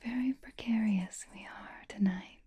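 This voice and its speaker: A woman playing a seductive, possessive female vampire in an ASMR roleplay, teasing and doting in a soft, breathy whisper. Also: a little high. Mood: doting, chiding. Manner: teasing, doting, slow and deliberate.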